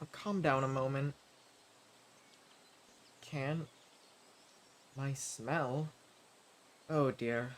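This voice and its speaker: A man playing a gentle, level-headed wandering adventurer in an audio roleplay, speaking haltingly and directly to the listener. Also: on the high side, a little hiss or hum. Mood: nervous, pleading.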